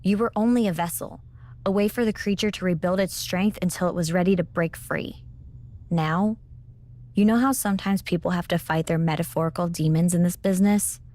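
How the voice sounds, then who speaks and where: A knowing mentor in a supernatural wrestling game, played by a woman, speaks in a lowish register. She is blunt, explaining things in a measured and pointed way. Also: warm tone, close to an everyday voice. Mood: grave. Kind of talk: video game cutscene acting.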